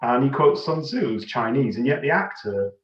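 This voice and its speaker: A man co-hosting a podcast, casually discussing a film in a halting, wry way. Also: medium pitch, lively intonation. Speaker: podcast co-host. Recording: slightly dull, echoey room.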